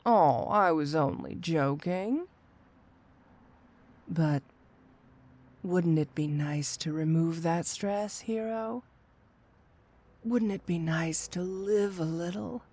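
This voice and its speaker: A woman's voice: fairly low pitch, darkish tone. A woman playing a flirty, teasing supervillain cat burglar in an ASMR roleplay audio drama, with a coy, seductive delivery. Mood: coaxing, tempting. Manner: coy, teasing, drawn-out delivery with rhetorical tag questions.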